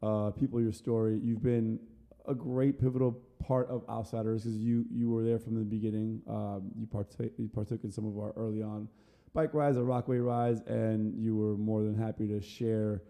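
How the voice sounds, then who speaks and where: A man's voice: fairly low pitch, little pitch movement, moderate pace, dark tone. A man hosting an interview podcast, chatty and self-deprecating, laughing often. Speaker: podcast host. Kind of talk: casual interview conversation.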